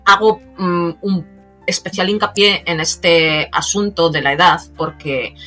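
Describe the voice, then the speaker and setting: Host in her 40s, lowish voice, chatty with fillers, faint music behind. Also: a little slow. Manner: talkative, many fillers, lively asides. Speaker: podcast host. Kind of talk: casual interview conversation.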